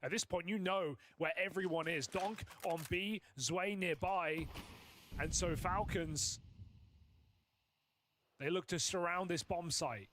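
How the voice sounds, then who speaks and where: Measured male color commentator. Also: high voice, a bit bright. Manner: measured, explanatory breakdowns. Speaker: esports analyst caster. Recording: broadcast feed with background noise.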